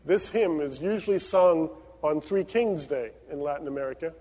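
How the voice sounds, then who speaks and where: A man's voice: a little high, moderate pace, warm tone. A man from Venezuela, a pastor leading prayers and giving announcements with many fillers. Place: church sanctuary. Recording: long reverb of a church sanctuary.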